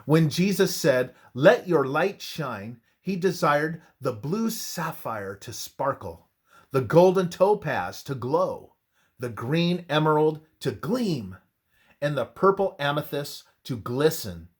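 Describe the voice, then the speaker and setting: Male narrator for devotional script: unhurried and deliberate, highly varied intonation, emphatic pauses. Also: very animated, husky. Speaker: reader of a religious devotional text.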